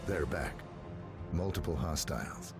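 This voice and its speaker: An actor playing an emotionless professional assassin in a video game, clipped and deadpan. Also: male voice, extremely low pitch, a little slow, music in the background, heavily acted. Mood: flat, businesslike. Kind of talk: cinematic game dialogue.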